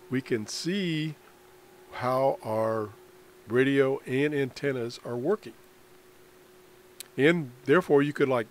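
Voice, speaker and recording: A man with a mid-pitched voice walks through a software tutorial. He speaks very slowly and haltingly, explaining each step off the cuff and pausing mid-sentence. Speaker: amateur radio hobbyist presenting a tutorial. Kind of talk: unscripted software screencast tutorial.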